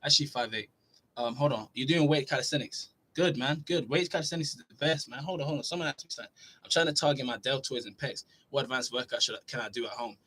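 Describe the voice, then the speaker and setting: A man's voice: mid-range voice, fast, breathy edge, poor audio. Young man casually answering live chat. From Norway. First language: Norwegian. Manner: relaxed, chatty, reads questions aloud then answers. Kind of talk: casual livestream Q&A.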